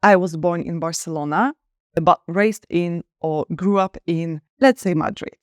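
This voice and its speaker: A woman's voice: fairly low pitch, dry recording, studio quality, faint music behind. Upbeat woman teaching English. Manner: clear, upbeat and encouraging, with slow stressed examples. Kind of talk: online English lesson.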